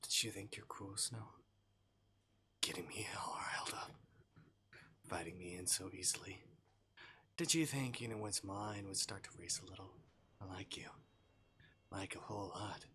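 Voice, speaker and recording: Obsessive male visual novel suitor, read aloud by a man: hushed, intense, possessive, faint noise behind. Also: low voice, measured pace, pauses often. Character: manic, possessive, lovestruck young male stalker.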